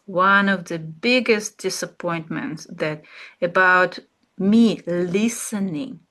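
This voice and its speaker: A woman, an English speaker, teaching an art therapy class, speaking slowly and reflectively with fillers and pauses. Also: fairly low pitch, bright, forceful tone. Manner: slow, searching, with fillers and pauses. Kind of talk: relaxed reflective class talk.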